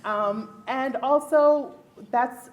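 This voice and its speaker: A woman's voice: high-pitched, drawn-out words, muffled recording. Earnest woman from the Bahamas on a panel. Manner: earnest, emphatic, laughs often. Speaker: panelist, neurologist. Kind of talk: panel discussion answer. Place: luncheon event hall.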